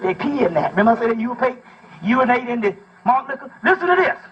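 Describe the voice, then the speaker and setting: A man's voice: extremely high pitch, big pitch swings, quick pace, muffled recording, crowd noise. A man giving a fiery, informal lecture, reading a text aloud and explaining it with emphatic profanity and rhetorical questions.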